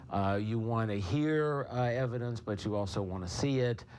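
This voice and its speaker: A man, a law professor, explaining in an interview in a halting, measured way. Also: mid-range voice, a little mellow, clean tone.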